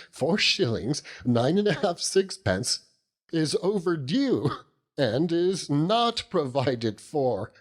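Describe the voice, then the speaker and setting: A man voicing a pompous, theatrically gloomy middle-aged gentleman in debt, in florid phrases, for an audiobook. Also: fairly high pitch, breathy edge, voice pushed up, heavily acted. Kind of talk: audiobook character reading.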